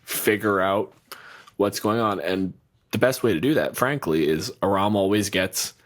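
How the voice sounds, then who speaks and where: A man's voice: fairly low pitch, a little slow. A man co-hosting a podcast as its game master, chatting casually with lots of "like" and casual swearing. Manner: rambling, filler-heavy, swears casually.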